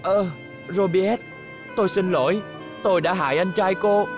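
A man's voice: sits high, moderate pace, loud music behind the voice, close to an everyday voice. An actor playing an earnest, lovestruck young male robot racer with dramatic delivery in a dubbed cartoon. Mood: apologetic.

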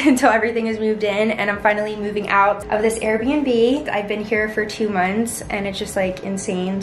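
A woman's voice: mid-range voice, slight background noise. Bubbly young woman vlogging in an echoey empty apartment. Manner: bubbly, chatty, lots of "like" fillers. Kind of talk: casual vlog apartment tour. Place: empty apartment with bare walls and hard floors. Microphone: handheld camera at arm's length.

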